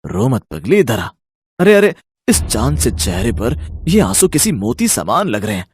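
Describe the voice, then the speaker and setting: A man's voice: mid-range voice, big pitch swings, measured pace, slight background noise. A man voicing a lecherous, bullying rich village moneylender in a cartoon story, with a leering, domineering manner. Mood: leering, sly. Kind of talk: Hindi cartoon story acting.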